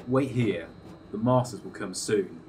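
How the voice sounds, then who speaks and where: A man's voice: on the low side, unhurried, distant mic, background noise, lightly acted. A man playing a curt, obedient henchman in a sci-fi audio drama, giving stern, clipped orders. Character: curt, obedient male henchman guard serving alien masters.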